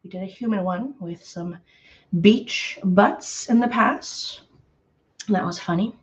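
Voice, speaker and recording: A slightly hoarse woman hosting a live painting tutorial, chatty and greeting viewers by name with lots of ums. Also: medium pitch, slow pace, muffled recording. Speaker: painting instructor.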